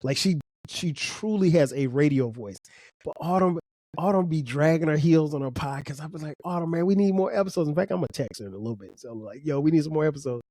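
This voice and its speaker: A man's voice: a little high, animated, quick pace, dark tone, no echo. A man from South Carolina with a South Carolina accent and a slightly nasal voice, rambling casually through a solo podcast with lots of fillers and restarts. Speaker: podcast host.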